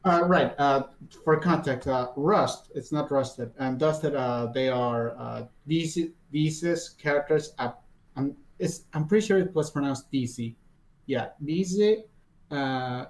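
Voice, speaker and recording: A man in his twenties on a podcast, explaining in a relaxed way with many fillers. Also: mid-range voice, rough recording. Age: 20s. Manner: relaxed, full of fillers, explains things loosely. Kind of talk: casual chat.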